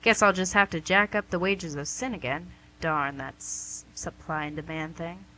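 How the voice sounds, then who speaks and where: Lady Devil, acted by a woman: sharp bright voice, smug tempting charm, comic radio play, noisy humming recording. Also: fairly low pitch, normal speed. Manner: teasing, chatty, theatrical. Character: female Devil, playful, charming, smugly tempting businesslike boss. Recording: noisy amateur recording with hum.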